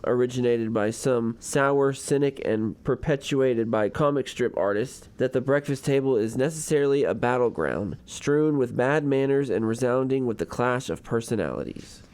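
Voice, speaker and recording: A man's voice: medium pitch, natural voice. Wordy, courtly, erudite husband played by a man. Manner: wordy, courtly, gently pedantic. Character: urbane, erudite, devoted college-man husband. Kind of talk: radio comedy acting.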